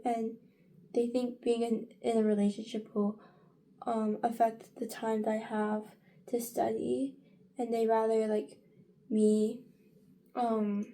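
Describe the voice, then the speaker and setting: Young woman with a creaky, dark voice. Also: a little high. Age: young adult. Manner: rambling, many fillers and restarts. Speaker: vlogger. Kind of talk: casual get-ready-with-me chat.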